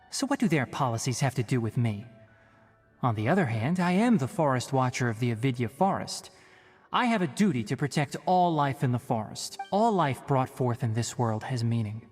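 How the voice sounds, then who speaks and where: A man's voice: medium pitch, a little mellow, big-room sound. A man voicing a young forest ranger scholar in a video game, stern and principled, with a dry, precise lecturing manner. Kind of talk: video game character acting.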